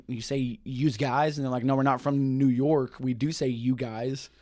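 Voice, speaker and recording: A man's voice: medium pitch, steady tone, moderate pace, mellow. Chatty podcast host, Texan man. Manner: chatty, laughs often, storytelling. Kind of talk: casual podcast chat.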